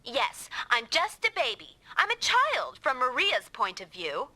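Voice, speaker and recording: A sharp, high-pitched little girl in an anime game dub. She is petulant and quick to take offence, and her delivery swings widely up and down. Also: wildly swooping pitch, no echo, heavily acted. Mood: sulky. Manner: sulky, petulant. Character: pouty, touchy young girl riding along as passenger. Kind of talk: anime-style video game dub acting.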